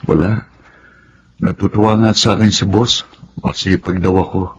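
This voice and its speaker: Man acting as a low-voiced, wounded grown son. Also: little pitch movement, moderate pace, dull audio, background noise, lightly acted. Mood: troubled. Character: hurt, resentful young adult son and older brother. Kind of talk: Tagalog radio drama acting.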